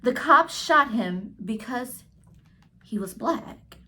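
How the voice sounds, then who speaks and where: A woman's voice: a little high, animated, slow pace, bright, forceful tone, exaggerated voice. A woman reading the part of a blunt, firm older sister in a storybook.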